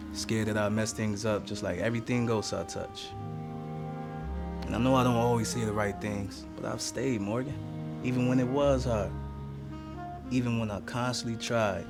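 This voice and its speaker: Guy acting defensive young boyfriend, earnest poetic monologue, scared of failing, music clearly behind. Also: medium pitch, close to an everyday voice. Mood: defensive, pleading. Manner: poetic, earnest, emotional delivery. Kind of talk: dramatic spoken-word monologue.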